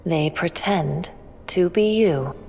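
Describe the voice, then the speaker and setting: Woman playing a slow, low-voiced alien in cavernous reverb. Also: creaky, darkish tone, husky, heavily acted. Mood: grave, detached. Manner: measured, cryptic, formal. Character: calm, all-knowing female alien, aloof and unhurried. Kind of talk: scripted sci-fi audio drama. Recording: long reverb, music underneath.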